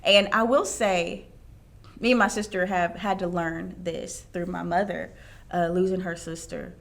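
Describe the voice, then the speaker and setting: A woman hosting a heartfelt, faith-based conversation, speaking in an animated, emphatic way and quick to laugh. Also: lowish voice, vocal fry. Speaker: host interviewing her mother.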